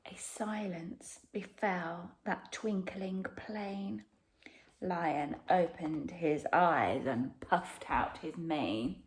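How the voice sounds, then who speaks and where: A woman narrating a children's picture book expressively, with dramatic pauses and hushed emphasis. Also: fairly low pitch, a little hiss or hum. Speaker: storyteller.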